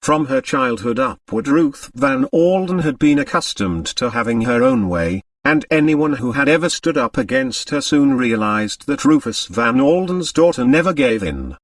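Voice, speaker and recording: Guy narrating an audiobook novel, really lively pitch, dry sound, bit back from the mic. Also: normal speed, hardly any pauses, no echo, far from the mic. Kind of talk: scripted dramatic narration of a novel.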